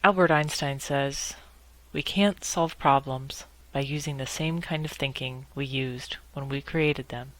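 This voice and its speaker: A woman guiding a meditation in a measured, instructional way, speaking in short phrases with pauses between them. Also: very deep voice, fairly even intonation, a bit bright, rough recording. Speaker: guided meditation leader. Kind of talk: guided meditation narration.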